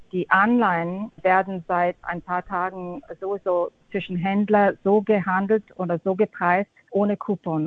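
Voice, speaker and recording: A woman's voice: fairly low pitch, no echo, narrow, tinny audio. A woman with a slight English accent, a guest fund manager answering interview questions chattily, with many restarts and English terms. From Austria and Japan.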